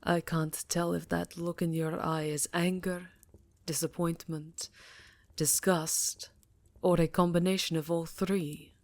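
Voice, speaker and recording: A woman playing an imperious, secretive vampire countess in an intimate ASMR roleplay, speaking in a measured, dramatic way that dips into breathy whispers. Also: fairly low pitch, flat delivery, no room sound. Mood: hesitant.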